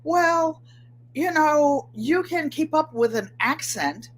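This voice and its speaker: Host, woman, 70s, New England accent, nasal twang, excitable livestream chat at home, higher-pitched. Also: slow pace, slight background noise, rough recording. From Connecticut, USA. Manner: chatty, excitable, gestures with hands. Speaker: channel host. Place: home room with bookshelves.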